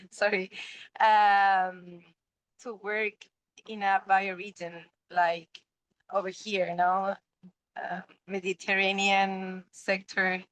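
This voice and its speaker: A young woman from Chile with a Chilean Spanish accent and Spanish as her first language, asking a question on a video call, pausing often to find her words. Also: medium pitch, fairly bright tone, no echo, rough recording. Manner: halting, searching for words. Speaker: workshop participant. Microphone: laptop or phone on a video call.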